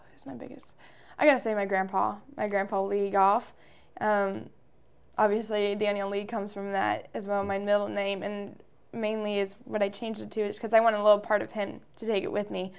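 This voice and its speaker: A woman's voice: medium pitch, little pitch movement, normal speed, gravelly, clear, resonant tone. A woman in her early twenties with an American accent, giving chatty, informal answers as a musician guest in an interview. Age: early 20s.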